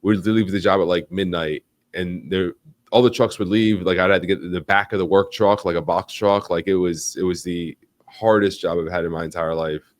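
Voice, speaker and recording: Deep-voiced man hosting a live chat. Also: normal speed, no echo, studio quality. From United States. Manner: animated, rambling, frequent fillers and restarts. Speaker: livestream host. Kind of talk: casual live interview.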